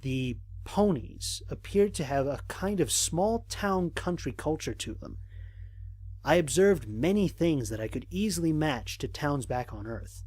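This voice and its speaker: A man playing an observant human space explorer, narrating scripted mission logs in a formal, measured, descriptive way with a slightly husky voice. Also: on the high side, very animated, a little mellow, no echo. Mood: measured, wary. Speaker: voice actor narrating an audio drama. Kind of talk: scripted first-person narration, mission log.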